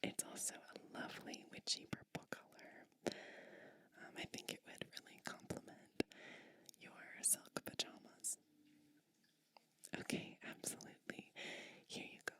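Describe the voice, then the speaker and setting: A woman whispering gently and attentively as a kindly witch in an ASMR bedtime roleplay, soothing the listener. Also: measured pace, pauses often. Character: kindly witch preparing a guest for bed.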